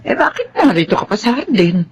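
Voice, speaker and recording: A woman's voice: mid-range voice, very animated, brisk, close to an everyday voice. A woman voicing a motherly, inquisitive older household cook in a Filipino radio drama. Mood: concerned. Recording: dull, noisy recording with ambience.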